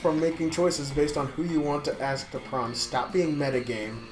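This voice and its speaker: Brief: foul-mouthed joking let's-play gamer, mid-pitched voice, roomy echo under music. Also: moderate pace. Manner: profane, joking, reads game text aloud. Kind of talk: casual game commentary with a co-host. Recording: roomy room with background music.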